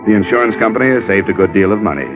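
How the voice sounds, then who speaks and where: A man acting as a hard-boiled American private investigator in a vintage radio drama. His voice sits low, with a clipped, wry delivery that stays sure of itself. The sound is muffled old broadcast audio with music clearly behind it. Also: lively intonation, racing, lightly acted. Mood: wry, satisfied. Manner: clipped, wry, matter-of-fact. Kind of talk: 1940s-50s radio detective acting and first-person narration.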